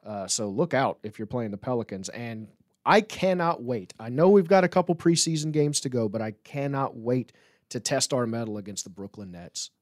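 A man's voice: mid-range voice, expressive, normal speed, dry recording, studio quality. A man hosting a sports podcast with casual, conversational talk about the team.

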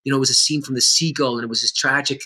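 A man's voice: mid-range voice, fairly even intonation, very fast, bright, forceful tone, slightly rough. A middle-aged man, an actor and teacher, talking earnestly and with animation in a conversational interview.

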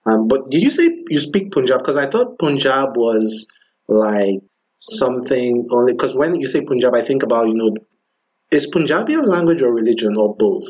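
A man's voice: mid-range voice, expressive, moderate pace, a little mellow. Curious podcast host man, muffled sound. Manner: curious, asks questions and sums things up. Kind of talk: casual conversation. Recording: dull lo-fi podcast audio.

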